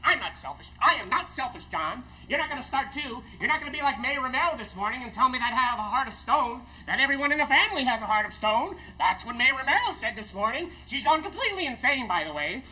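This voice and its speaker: A man's voice: very high-pitched, big pitch swings, fast, hardly any pauses, pushed and bright, recorded from a distance, full character voice, poor audio. A man voicing an imperious, self-pitying older mother with indignant, melodramatic delivery in a stage monologue. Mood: haughty, wounded.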